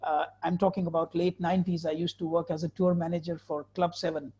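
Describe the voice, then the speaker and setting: An Indian man with an Indian English accent speaks as an interview guest and tells his stories fluently, with a casual "you know" here and there. His voice is high yet mellow. The recording sounds muffled and lo-fi. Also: flat delivery, fast talker, slightly rough. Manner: warm storyteller, fluent, uses fillers like "you know". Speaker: guest, travel professional.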